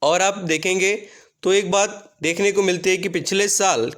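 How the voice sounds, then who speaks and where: A man with a distinctly high voice presents financial results as an online host. He explains things step by step to his viewers, freely mixing English terms and numbers into his speech. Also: fairly even intonation, normal speed, fairly bright tone. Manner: Hindi heavily mixed with English terms and numbers.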